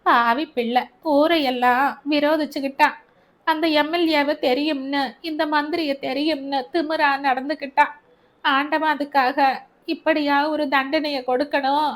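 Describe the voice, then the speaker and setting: A woman's voice: high-pitched, fairly even intonation, a little slow, voice pushed up. Woman doing a wailing grieving old mother. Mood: lamenting. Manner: wailing, complaining, colloquial. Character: grieving, angry poor older mother wailing.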